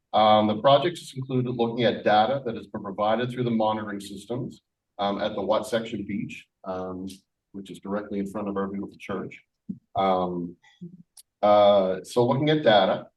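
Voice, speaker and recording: A man with a projecting voice giving an earnest, halting prepared speech as a school leader at a community event. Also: on the low side, darkish tone. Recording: distant microphone in a room.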